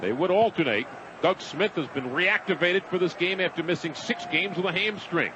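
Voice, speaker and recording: Male American football announcer, high register, live radio play-by-play, noisy stadium broadcast. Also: moderate pace, dull audio. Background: stadium noise.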